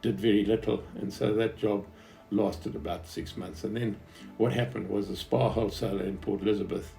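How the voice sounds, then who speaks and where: A man's voice: on the low side, steady tone, creaky, warm tone, soft background music. A man in his seventies from Zimbabwe, with a Southern African accent, telling his life story off the cuff in a hesitant, reflective way. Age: 70s. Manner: hesitant, reflective, halting phrasing. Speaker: businessman recounting his life. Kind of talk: unscripted autobiographical storytelling to an interviewer.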